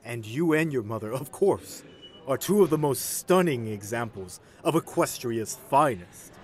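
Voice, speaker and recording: A man's voice: mid-range voice, lively intonation, a little slow, close to an everyday voice. A man playing a pompous, scheming pony nobleman in an audio drama, speaking in a formal, condescending way. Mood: oily, flattering. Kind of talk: fan audio drama acting.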